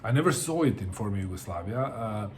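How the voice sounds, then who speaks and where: A man's voice: lowish voice, animated, a little fast, a little mellow, slight background noise. A middle-aged man from Bosnia, talking casually to camera about books, hesitant, with many fillers and repeated words. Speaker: book vlogger.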